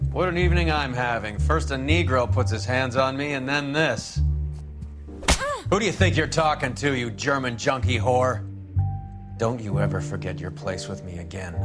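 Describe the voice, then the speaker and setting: Brief: a man as a swaggering 1940s cop, sneering and bossy, mid-pitched, over loud jazz. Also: normal speed, over music, natural voice. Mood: menacing. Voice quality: sneering, domineering. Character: brash bullying male 1940s police detective. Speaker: police detective. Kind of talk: 1940s crime game acting.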